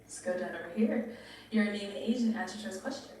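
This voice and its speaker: Female game show host, informal prompts and questions, medium pitch, strongly reverberant with faint noise. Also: quick pace, a little mellow. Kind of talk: casual, directive show hosting with questions. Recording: echoey, distant, faint noise.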